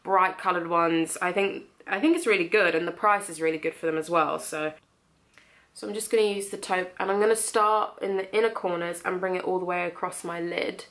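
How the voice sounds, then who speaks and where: A woman's voice: on the low side, steady tone, fairly quick, fairly bright tone. Young woman, beauty vlogger, mild cold, nasal, casual tutorial chat. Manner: chatty, friendly, lots of ums. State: has a cold, slightly nasal.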